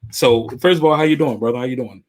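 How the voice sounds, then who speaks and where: A man's voice: mid-range voice, fast talker. A male podcast host with a mid-range voice, easygoing and friendly. He drops slang and keeps the talk loose. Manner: friendly, calls guest brother, loose street slang. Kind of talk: podcast interview hosting.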